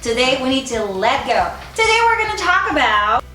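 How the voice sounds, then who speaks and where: High, animated Puerto Rican woman, echoey room. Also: moderate pace, faint music behind. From Puerto Rico. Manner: addresses viewers directly, encouraging. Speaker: school counselor giving advice. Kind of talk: informal advice vlog to camera. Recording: echoey, roomy sound.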